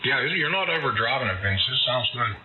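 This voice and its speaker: A man chatting as a ham radio operator, loud and halting. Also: medium pitch, lively intonation, narrow, tinny audio, slight background noise. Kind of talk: casual radio chat.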